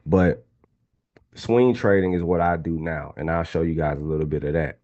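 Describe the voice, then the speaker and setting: A young man in his twenties with a low, mellow voice teaches a live online trading class. He talks casually and hypes up his listeners, often turning to the chat. The sound is a little dull and lo-fi. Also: fairly even intonation, moderate pace. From Atlanta, Georgia. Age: 20s. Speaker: trading instructor.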